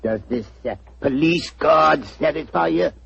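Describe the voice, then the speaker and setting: An actor playing a blustering, self-assured police inspector in a 1940s radio drama. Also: male voice, fairly high pitch, unhurried, gravelly, fairly bright tone, husky, full character voice. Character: blustering police inspector, middle-aged man. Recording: muffled old radio recording.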